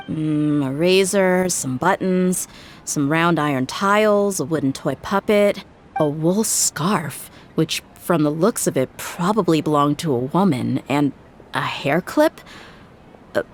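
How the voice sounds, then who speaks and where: A woman's voice: sits low, unhurried, slight background noise. A woman playing a tough, blunt young mercenary in a video game, dry, frank and wry. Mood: puzzled.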